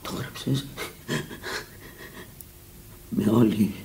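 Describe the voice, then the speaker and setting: An actress in a radio drama playing a sick, bitter, foul-mouthed and desperate fallen woman, speaking theatrically and haltingly, often repeating words. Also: rumbling low, creaky, warm tone. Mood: broken, sorrowful. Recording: noisy archival radio recording.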